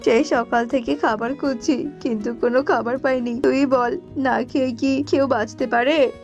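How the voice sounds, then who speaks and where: A woman's voice: high-pitched, fairly even intonation, moderate pace, a little mellow, lo-fi sound, soft background music. A woman voicing a selfish, cunning male bird in a children's cartoon, by turns scolding and wheedling, on a noisy track. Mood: self-pitying. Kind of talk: Bengali children's cartoon dubbing.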